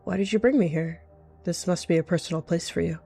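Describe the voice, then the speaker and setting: A roleplayer voicing a guarded, scheming rogue cat who feigns friendliness, in measured speech. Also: mid-range voice, vocal fry, mellow, slightly rough. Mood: polite, probing. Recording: music behind the voice.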